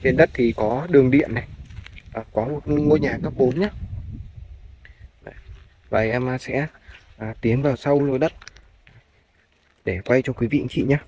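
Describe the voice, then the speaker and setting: A male property agent talks viewers through a piece of farmland in a polite sales manner, at a middling pitch. He is outdoors on a handheld phone, with faint noise behind his voice. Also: normal speed, long pauses, a little mellow, low-quality recording. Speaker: real estate agent. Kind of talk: walk-through property tour narration. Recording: clear background noise, outdoor phone video.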